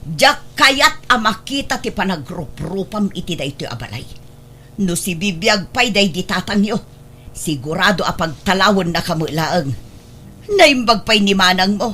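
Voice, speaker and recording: An actress plays a stern mother in a radio drama, ashamed and reproachful. Her scolding swings widely in pitch over faint background noise. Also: lively intonation, a little slow, pushed and bright. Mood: angry, ashamed.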